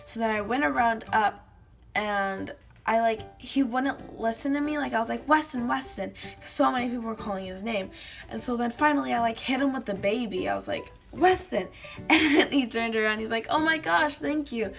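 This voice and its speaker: Hoarse, gravelly-voiced teen girl vlogger. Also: on the high side, normal speed, faint music behind. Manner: chatty, giggly storytelling with many fillers. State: slightly hoarse from a lost voice. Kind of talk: casual chat to camera. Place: small bedroom indoors.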